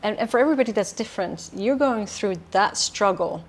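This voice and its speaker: A woman's voice: mid-range voice, lively intonation, brisk. A woman hosting a podcast, chatting casually and backing her guest with affirming remarks. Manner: supportive, affirming interjections. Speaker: podcast host. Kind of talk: casual interview chat.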